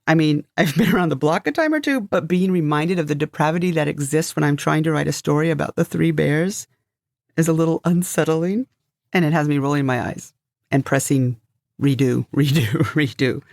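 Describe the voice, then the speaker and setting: A woman's voice: sits low, a little fast, creaky, a little mellow, dry recording, studio quality. A woman co-hosting a podcast in casual, conversational chat. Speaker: podcast co-host.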